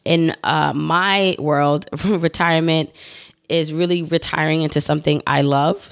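A woman in her thirties hosting her own podcast, talking solo in a chatty, upbeat way with rambling asides, her voice still recovering after she lost it. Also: sits low. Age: 30s. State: recovering from voice loss. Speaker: podcast host.

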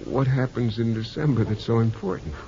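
A man playing an eager, insecure, devoted young fiancé with an earnest, halting delivery in 1950s radio drama style. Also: mid-range voice, fairly even intonation, gravelly, warm tone, a little hiss or hum, close to an everyday voice. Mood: hurt, earnest. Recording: dull 1950s radio recording.

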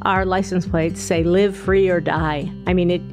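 A woman's voice: on the low side, fairly even intonation, measured pace, music in the background. A woman from New Hampshire hosting a podcast, asking friendly, curious questions. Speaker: podcast host. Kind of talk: casual interview chat.

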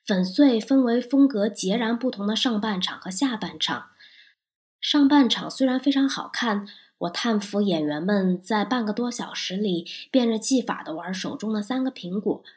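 Woman, medium-pitched voice, podcast reviewer, scripted first-person narration. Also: darkish tone.

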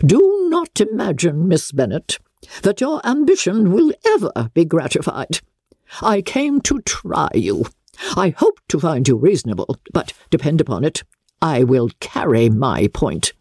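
Casting: haughty, scornful grande dame for a storybook played by a female narrator, indignant, sweeping pitch, husky edge. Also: very animated, a little slow, warm tone, no echo, clean studio sound, heavily acted. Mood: outraged, threatening. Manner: imperious, declamatory, indignant. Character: haughty, incensed older aristocratic lady, imperious and scornful. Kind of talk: dramatic classic novel reading.

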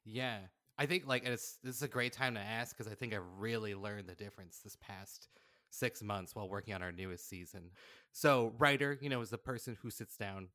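A man with a slightly low but bright, forceful voice talks casually as an interview guest. The recording is dry, with almost no room sound. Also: moderate pace, no echo. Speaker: podcast guest, audio drama writer-director. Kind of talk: casual conversational interview answers.

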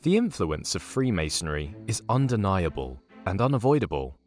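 A grandiose documentary narrator in an audio comedy, low-voiced and lively in intonation. He delivers scripted lines with dramatic mock seriousness over background music. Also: moderate pace. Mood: mock-serious, dramatic. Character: wry, grandiose male documentary-style narrator.

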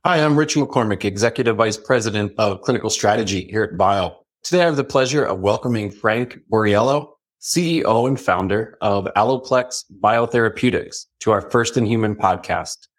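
A man's voice: lowish voice, normal speed, few pauses. A man hosting an interview podcast, putting prepared questions to a guest. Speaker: podcast guest host, industry executive.

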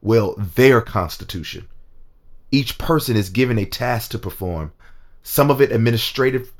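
A man's voice: medium pitch, animated, moderate pace, lightly acted. A man acting in an audio drama as a strong, secretive rebel politician, confiding earnestly in his lover. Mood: grave, confiding.

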